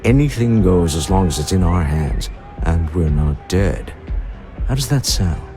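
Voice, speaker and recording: An actor playing a suave, wealthy, wry executive in a video game, speaking in polished, unhurried phrases. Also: male voice, sits low, animated, mellow, soft background music. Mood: confident, amused. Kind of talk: video game dialogue acting.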